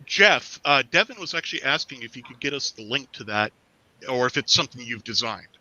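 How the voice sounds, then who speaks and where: A man's voice: mid-range voice, a little fast, no echo, highs cut off. A man hosting a video call stream, chatting casually and bringing in his guests. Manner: relaxed, introduces guests. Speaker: stream host.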